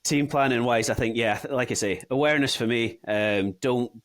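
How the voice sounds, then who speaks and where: A man's voice: mid-range voice, moderate pace, no room sound. A man with a half English, half Scottish accent, chatting casually and conversationally as host of a gaming podcast.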